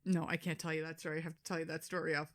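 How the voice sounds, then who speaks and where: A woman's voice: sits low, flat delivery, very fast. A middle-aged woman co-hosting a podcast in casual, blunt chat, swearing freely and laughing often. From Boston area, USA. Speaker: podcast co-host.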